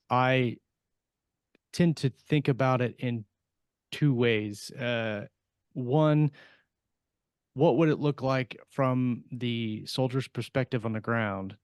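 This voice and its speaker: A man talking casually on a panel as an author, halting and repeating words as he thinks aloud. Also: mid-range voice, clean tone, no echo, studio quality. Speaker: science fiction author on a panel podcast.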